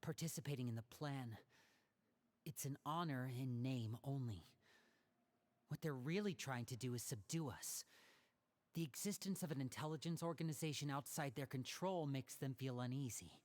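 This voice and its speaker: Male actor: composed young game lead, thoughtful, measured. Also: medium pitch, a little mellow, lightly acted. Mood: serious. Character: clever young man, a magician and an older brother. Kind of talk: video game dialogue.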